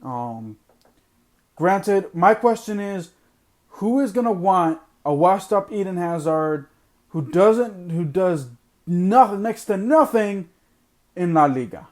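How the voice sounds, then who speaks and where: A man's voice: high-pitched, expressive, slow pace, darkish tone. A man hosting a sports podcast, ranting bluntly and repeating his points for emphasis while losing his voice. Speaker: podcast host. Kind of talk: opinionated sports podcast monologue.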